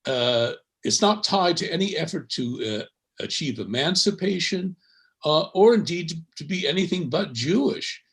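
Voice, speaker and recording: An older man, a history professor, explaining at length on an academic panel with a measured, lecturing delivery and frequent fillers. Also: a little high, lively intonation, fairly bright tone.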